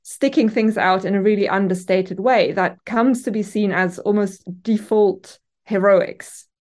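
A woman with a German accent talks through her research in an interview, her voice sitting at an ordinary middle pitch. She thinks aloud, hesitating with frequent ums and self-corrections as she builds each point. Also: fairly even intonation, measured pace, a little mellow. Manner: thoughtful, hesitant with many ums and restarts. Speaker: literature academic guest. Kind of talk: conversational interview answers. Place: university office. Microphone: remote call from office.

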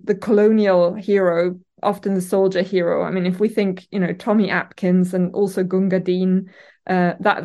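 A German-born woman with a German accent, an academic guest answering interview questions thoughtfully, pausing often with ums and restarting phrases. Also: mid-range voice, darkish tone. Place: university office. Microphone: remote call from office.

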